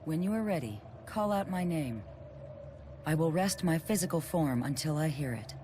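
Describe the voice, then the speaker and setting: Low, aloof ancient ice spirit. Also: female voice, animated, normal speed, background noise. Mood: cool, guarded. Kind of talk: video game cutscene voice acting. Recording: game music behind the voice.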